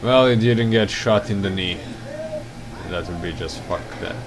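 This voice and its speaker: Man, deep drawl, wry reactive game commentary. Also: noisy background. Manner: low, slow, swearing and mumbling reactions. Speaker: gameplay streamer. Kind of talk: casual gameplay commentary.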